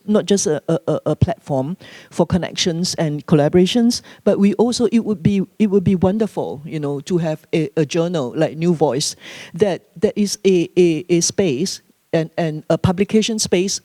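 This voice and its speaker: A woman panelist adding a quick comment at an academic conference, stammering and repeating words. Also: lowish voice, warm tone, no echo, studio quality. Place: conference room.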